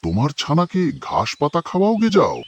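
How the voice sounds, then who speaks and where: A man's voice: a little high, wildly swooping pitch, brisk. A man voicing a greedy, quarrelsome crow bully in a children's cartoon, demanding and taunting. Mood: mocking.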